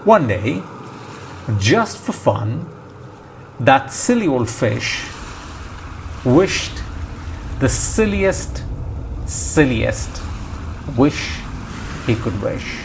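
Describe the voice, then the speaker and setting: Very slow-paced man reading a storybook. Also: medium pitch, sing-song, rough recording. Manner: measured, pausing between short phrases. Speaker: storybook reader. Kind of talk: slow scripted children's read-aloud narration. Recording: clear background noise, ambience. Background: ocean ambience sound effects.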